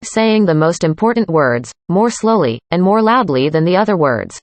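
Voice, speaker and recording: A woman reading a student's part in a scripted classroom conversation, calm and plain. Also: lowish voice, moderate pace, dry recording. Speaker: student in a dialogue.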